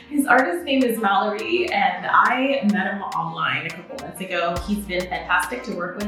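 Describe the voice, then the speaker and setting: A woman's voice: mid-range voice, distant mic. A young adult woman who speaks French as her first language, chatting upbeat and casual to the camera as a makeup artist and beauty vlogger. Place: indoor home studio with a makeup setup. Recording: echoey room, mic set back.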